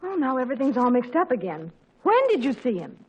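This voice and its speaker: A woman's voice: high-pitched, very animated, a little fast, darkish tone, a little hiss or hum, low-quality recording. A woman acting a slightly vain, puzzled mother in her thirties, with dry, coy delivery in a 1940s radio sitcom.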